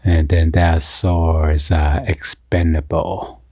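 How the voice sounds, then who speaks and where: Very deep-voiced, halting man. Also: level tone, warm tone, no echo, clean studio sound. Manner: halting, hesitant delivery. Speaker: unboxing video host. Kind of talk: casual unboxing narration. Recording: noisy, with crinkling packaging and clicks. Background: packaging crinkling and clicking.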